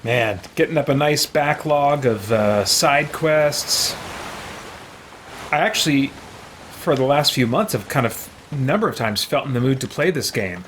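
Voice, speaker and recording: Man, game streamer, casual commentary, musing aloud, average pitch, faint noise behind. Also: lively intonation, unhurried, a bit bright.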